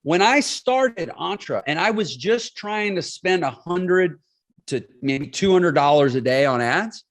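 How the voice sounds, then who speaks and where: Forties guy, pretty high voice, pushy emphatic podcast guest, keeps saying right. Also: expressive, slow pace. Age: 40s. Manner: emphatic, explains with figures, asks "right?" often. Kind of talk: casual interview talk.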